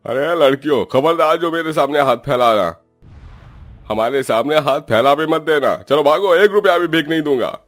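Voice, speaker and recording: Man acting a higher-voiced brusque, heartless man. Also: animated, moderate pace, a bit bright, faint music behind. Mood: scornful. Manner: scornful, brusque. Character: gruff, dismissive, hard-hearted man scolding poor girls.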